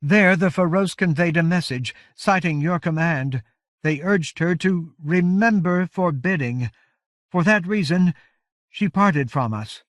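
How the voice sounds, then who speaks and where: A man's voice: on the high side, measured pace, dry recording, clean studio sound, voice pushed up, close to an everyday voice. A man voicing an exhausted giant woman warrior leader in an audiobook, speaking in formal, archaic, grave oratory with a strained voice. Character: Rime Coldspray, weary giant woman warrior leader, solemn. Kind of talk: audiobook character voice.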